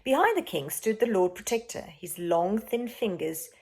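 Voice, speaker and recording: Woman narrating with very lively intonation. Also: medium pitch, moderate pace, slightly rough. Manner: dramatic, emphatic. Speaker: teacher reading aloud. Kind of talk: expressive children's storybook narration.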